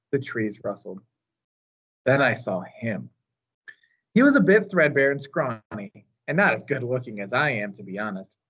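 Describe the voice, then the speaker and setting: A man reading a children's novel aloud in a measured, expressive storytelling manner. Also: mid-range voice, dull audio. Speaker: storytime reader.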